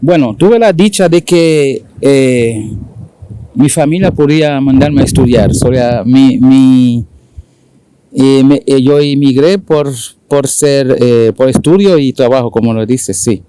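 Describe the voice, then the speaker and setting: A man in his forties from Haiti, speaking Spanish with a Dominican accent, talking haltingly with many fillers as an interview guest. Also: mid-range voice, warm tone, clean tone, no room sound. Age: 40s. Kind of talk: casual conversational interview.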